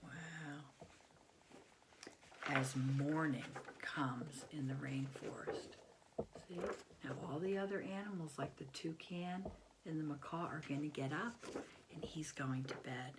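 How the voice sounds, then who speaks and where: A low-pitched American woman in her fifties reads to young children at an unhurried pace. She is expressive, pausing to explain simply and put questions to her listeners. It is a home video recorded in a bedroom, with clear noise behind the voice. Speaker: teacher reading a picture book to children. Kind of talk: children's read-aloud with asides to young listeners. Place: small bedroom at home, indoors.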